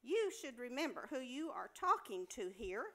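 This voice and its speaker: A woman's voice: on the high side, big pitch swings, moderate pace, pushed and bright, heavily acted. A woman playing a scornful, impatient hospital nurse with a scolding, sarcastic manner in a staged comedy reading. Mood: scolding, dismissive. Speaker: amateur actor in a staged reading.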